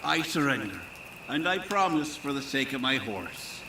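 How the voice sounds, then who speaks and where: An actor playing a blustery but good-hearted parish priest with an Irish accent in an audio drama. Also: male voice, mid-range voice, big pitch swings, moderate pace, background noise. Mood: conceding.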